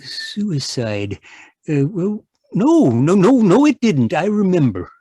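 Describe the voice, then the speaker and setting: A man acts out a timid, anxious husband in a radio mystery. He stammers and frets in a husky, fairly high voice with lively swoops. The recording is dry and close. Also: slow pace, no room sound, exaggerated voice. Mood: fretful, frightened. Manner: stammering, hesitant, fretful. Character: timid, anxious runaway husband, Tom Turkey. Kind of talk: old-time radio drama acting. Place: live online performance over video call.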